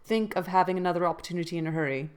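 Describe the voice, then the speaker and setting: A polite, curious gentleman, as a woman reads him, with a formal way of asking his questions. He is read in a speaking voice on the low side for a woman, with little change to make him a man. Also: rapid-fire, clean tone, lightly acted. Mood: inquiring.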